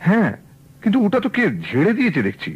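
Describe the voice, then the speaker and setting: An actor playing a young nephew who wants to be an actor, talking in a casual, evasive way. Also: male voice, high-pitched, sing-song, quick pace, slightly rough, heavily acted. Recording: dull old radio recording, faint hiss.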